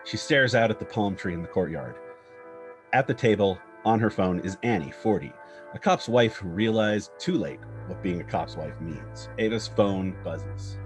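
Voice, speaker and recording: A man's voice: deep voice, lively intonation, normal speed. A man reading screenplay stage directions as narrator, in short clipped scripted phrases. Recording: guitar music bed under the voice.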